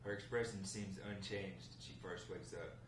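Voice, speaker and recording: Low-voiced man narrating, echoey room. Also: steady tone, normal speed. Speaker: narrator reading stage directions. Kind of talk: scripted narration at a table read. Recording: echoey room, distant mic, faint noise.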